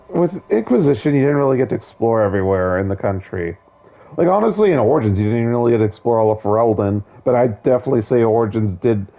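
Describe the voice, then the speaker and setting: A hoarse man losing his voice, giving casual, opinionated, digressive commentary on a video game. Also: medium pitch, highs cut off. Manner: opinionated, digressive, many restarts.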